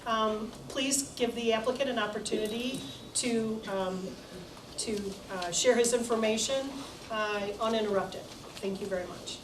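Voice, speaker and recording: A woman's voice: on the high side, fairly even intonation, unhurried, background noise. Lady running a town meeting, lots of ums, roomy echo, mic kinda far off. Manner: hesitant, many fillers. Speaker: planning commission chair. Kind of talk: meeting chairing. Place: township meeting hall. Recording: echoey room, distant mic.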